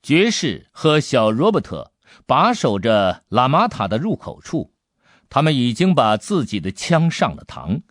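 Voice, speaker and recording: 一位男性有声小说演播者，用富有悬念和表现力的方式讲述故事。. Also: male voice, medium pitch, a little slow, no room sound, studio quality. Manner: suspenseful, expressive delivery. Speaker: audiobook narrator. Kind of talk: dramatic scripted storytelling.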